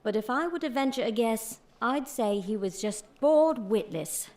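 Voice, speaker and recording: An actress playing a fond, chatty Victorian housekeeper with a homely, folksy manner in an audio drama. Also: female voice, fairly high pitch, animated, normal speed, a little mellow, natural voice. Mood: confiding.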